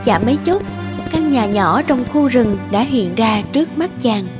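A woman narrating a fairy tale in a measured, scripted storybook style. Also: a little high, animated, over loud music.

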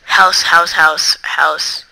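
Brief: casual gameplay commentator, chatty and rambling, slow delivery, gravelly texture. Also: mid-range voice, rough recording. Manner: rambling, chatty, talks to viewers; excitable outbursts during play. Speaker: Let's Play gamer.

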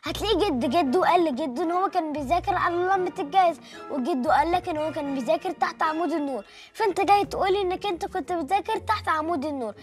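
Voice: very high-pitched, rapid-fire, faint music behind. A performer playing a cheeky, smart-mouthed young son in a TV comedy drama. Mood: cheeky, skeptical. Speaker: actor in a TV comedy series.

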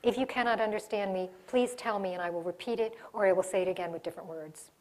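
A woman's voice: medium pitch, fast talker. A middle-aged woman giving a live workshop in a conference room, talking with her hands and often restarting her sentences. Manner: animated, gestures a lot, restarts sentences. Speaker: diversity workshop presenter. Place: conference room in front of a projection screen.

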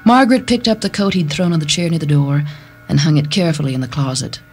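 A woman's voice: low-pitched, fast talker. A woman giving a dramatic scripted reading of a short story. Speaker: story narrator. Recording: music bed behind the voice.

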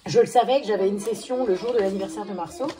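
Hoarse forties woman, chatty home vlogger, echoey kitchen with kids around. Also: mid-range voice, quick pace, a crowd in the background. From France. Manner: chatty, many fillers and restarts. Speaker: family vlogger. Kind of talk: casual chat to camera. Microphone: phone camera at arm's length. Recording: echoey room with family noise.

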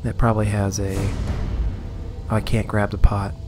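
A man casually commenting on his game as he plays, rambling and amused, a little hoarse. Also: on the low side, little pitch movement, normal speed, over music. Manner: rambling, self-amused, sorting inventory aloud. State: slightly hoarse. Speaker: let's play host.

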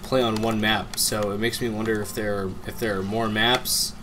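A man's voice: lowish voice, flat delivery, normal speed, background noise. A slightly nasal young man chatting casually as a co-host beside someone playing a game, with lots of fillers and restarts. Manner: talkative, repeats words, filler-heavy. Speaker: let's play co-host. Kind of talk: casual gameplay chat.

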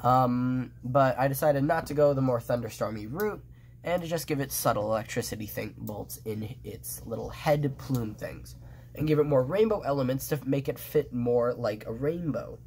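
A man's voice: mid-range voice, normal speed, darkish tone, husky. Nasal stuffed-up young man rambling. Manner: rambling, many restarts and fillers. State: stuffed nose, sniffling. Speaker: fan artist presenting his drawings. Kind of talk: casual show-and-tell commentary.

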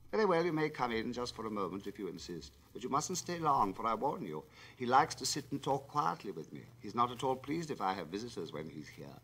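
A man's voice: mid-range voice, sing-song, fairly quick, few pauses, fairly bright tone, breathy edge, heavily acted. A man voicing a capricious, coquettish young woman with a coaxing, lightly raised tone in a storybook reading. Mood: coy.

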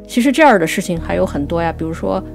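A woman co-hosting a conversational talk on classical poetry, explaining things and reciting verse slowly. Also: lowish voice, expressive, gravelly, faint music behind. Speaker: writer and co-host.

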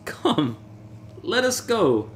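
Man acting a boisterous drunk nobleman, voice leaping high. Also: very animated, very slow, heavily acted. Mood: insistent, scornful. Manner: exclamatory, boisterous, insistent. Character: drunk, excitable, proud Italian nobleman and wine connoisseur. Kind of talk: theatrical horror story reading. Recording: clear background noise, ambience.

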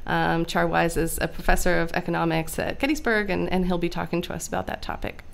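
American woman with a creaky, clear voice. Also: lowish voice, steady tone. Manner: measured, explanatory, often checks with "right?". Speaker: academic guest, lecturer on immigration history. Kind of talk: conversational explanatory interview. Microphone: podcast microphone on a stand.

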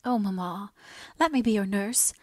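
A woman's voice: mid-range voice, moderate pace, darkish tone, no room sound, clean studio sound, lightly acted. A woman voicing a devoted young daughter in an audiobook, earnest, fervent and pleading. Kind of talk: dramatised audiobook dialogue.

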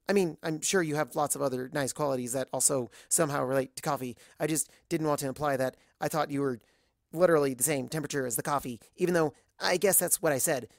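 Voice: medium pitch, creaky, no echo, studio quality, natural voice. A narrator voicing a nervous, awkward young pony mare who babbles and over-explains in an audiobook-style story.

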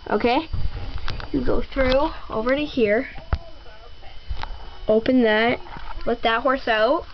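A young girl giving a rambling tour of her toy horse barn at home, with many ums and pauses. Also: a little high, a little hiss or hum. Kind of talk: casual home video narration. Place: bedroom at home. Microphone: handheld camera mic. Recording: slightly dull lo-fi home camera audio.

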